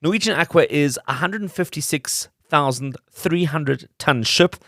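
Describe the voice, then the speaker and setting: A man's voice: a little high, a little slow, a bit bright, no echo, clean studio sound. A man hosting a radio show, narrating from a script to his listeners. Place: dry studio.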